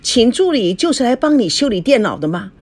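Woman acting a shrill jealous wife, scolding. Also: very animated, unhurried, heavily acted. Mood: furious. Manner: sarcastic, accusing, scolding. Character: jealous, sharp-tongued, hot-tempered young wife.

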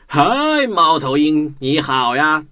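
A man's voice: on the high side, big pitch swings, slow pace, pushed and bright, faint music behind, heavily acted. A man playing a small, cunning, stammering mouse in a children's audio drama, with exaggerated delivery. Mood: sly, confident. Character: small clever timid-seeming mouse, cunning bluffer.

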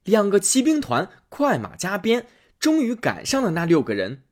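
A man reading a fairy tale aloud as a storyteller, in a lively, expressive way. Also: high-pitched, normal speed.

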